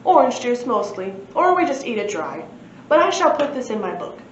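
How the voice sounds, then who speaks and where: A woman's voice: fairly high pitch, big pitch swings, normal speed. Echoey, pompous dinosaur professor, voiced by a woman. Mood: proud, earnest. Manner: formal, wordy, matter-of-fact. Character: pompous, earnest male dinosaur professor and inventor. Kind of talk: storybook read-aloud. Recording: echoey room, muffled, faint noise.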